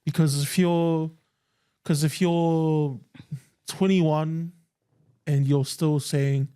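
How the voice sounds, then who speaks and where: Young tongan guy on a podcast, mellow warm voice, talks earnest and emphatic, bit higher pitched. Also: fairly even intonation, very slow, clear, resonant tone. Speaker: podcast co-host. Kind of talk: casual chat.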